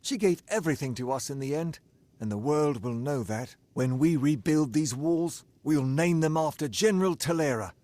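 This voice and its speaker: An actor playing an earnest, weary soldier from a besieged fortress in a fantasy video game, speaking solemnly and formally. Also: male voice, on the high side, normal speed, a little mellow, no echo, close to an everyday voice. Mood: solemn, grateful.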